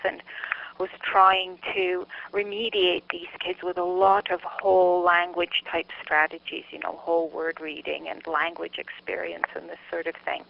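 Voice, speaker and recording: A woman's voice: lowish voice, gravelly. A middle-aged woman from Montreal with a Canadian accent and Czech as her mother tongue, answering interview questions as a researcher in a hesitant, self-correcting, thoughtful way. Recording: dull lo-fi interview audio.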